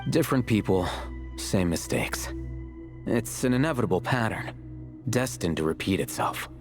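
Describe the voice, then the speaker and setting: An aloof, learned game character with a notably deep voice. He lectures in a composed, measured manner and never seems hurried. Clear music plays behind his matter-of-fact speech. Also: lively intonation. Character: composed, learned male scholar, aloof and unhurried. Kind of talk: video game character dialogue.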